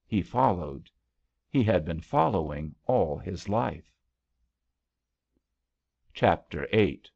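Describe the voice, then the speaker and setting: Low, gravelly male story reader. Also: animated, slow pace, pauses often, darkish tone, dull audio. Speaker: audiobook reader. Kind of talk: scripted literary narration.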